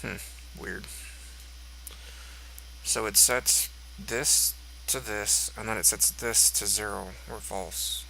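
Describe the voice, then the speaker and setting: A man's voice: on the low side, fairly even intonation, slow pace, vocal fry, sharp tone, a little hiss or hum, low-quality recording. Congested man musing over code. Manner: musing, thinking aloud while reading code. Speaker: code reviewer. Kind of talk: screen-recorded code walkthrough.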